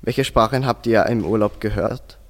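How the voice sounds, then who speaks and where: A man's voice: lowish voice, normal speed. A teenage boy, reading a scripted dialogue stiffly for a school radio show. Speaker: student presenter.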